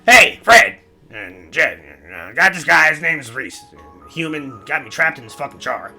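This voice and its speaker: A man voicing a crude, grudging demon trapped in a jar, with halting, drawn-out, cursing speech, in a comic told story. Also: on the high side, very animated, creaky, bright, forceful tone, faint music behind, heavily acted. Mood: grudging, pained. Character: gruff jarred demon, crude, grudgingly friendly, in pain at kindness.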